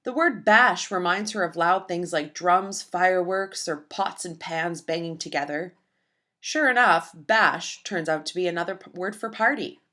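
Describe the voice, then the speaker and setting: A woman reading a children's picture book aloud in a gentle, expressive storytelling manner. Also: fairly low pitch, moderate pace.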